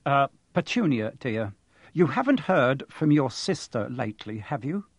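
A man's voice: mid-range voice, very animated, slow, no echo, heavily acted. A man voicing a nervous middle-aged English husband who hesitates and mumbles evasively in an audiobook. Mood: nervous, hesitant.

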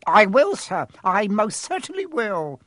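High-pitched, mischievous poltergeist. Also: male voice, wildly swooping pitch, unhurried, fairly bright tone, no room sound, clean studio sound, heavily acted. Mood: grovelling, oily. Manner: singsong, taunting, oily. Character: mischievous wicked male poltergeist, cackling and fawning.

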